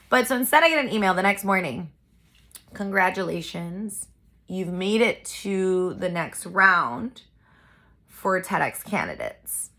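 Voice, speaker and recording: A young woman telling a story in a casual vlog, chatty and animated, talking straight to her viewers. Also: mid-range voice. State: congested from a cold. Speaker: vlogger and poet.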